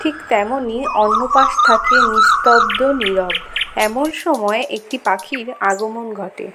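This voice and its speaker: A woman's voice: a little high, a little slow, heavy background noise. A woman narrating a scripted children's story. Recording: loud birdsong behind the narration.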